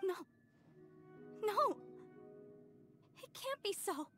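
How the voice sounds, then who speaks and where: A woman's voice: very high voice, big pitch swings, extremely slow, bright, forceful tone, faint music behind, exaggerated voice. A woman playing a devout, proud young woman in a video game, with a dramatic, earnest delivery. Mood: distraught denial. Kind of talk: English video game voice acting.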